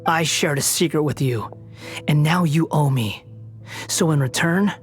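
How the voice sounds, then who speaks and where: An actor playing an aloof, proud young wandering god in a video game, speaking with a cool, dry, mocking delivery. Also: male voice, a little high, unhurried, soft background music, natural voice. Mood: weary. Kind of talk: video game cutscene dialogue.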